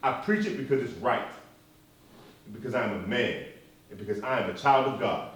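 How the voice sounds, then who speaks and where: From far back in a heavily echoing hall, a male stage actor plays a dignified, earnest preacher and civil rights leader. His mid-pitched male voice moves in a measured, emphatic pulpit cadence, building through repeated phrases. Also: a bit bright, close to an everyday voice. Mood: impassioned, wounded. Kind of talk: live stage play acting. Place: stage in a reverberant room, recorded from afar. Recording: echoey hall, distant microphone.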